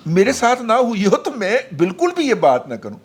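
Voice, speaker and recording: A man's voice: high voice, huge pitch swings, moderate pace, breathy edge. A man on a political talk panel, telling a personal story with rising animation. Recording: faint background noise.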